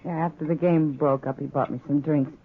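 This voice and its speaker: A woman's voice: low-pitched, steady tone, a little fast, narrow, tinny audio. A woman playing a worldly, friendly saloon woman with an American accent and a familiar manner in a 1950s radio western. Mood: familiar, candid. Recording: thin, noisy old radio recording.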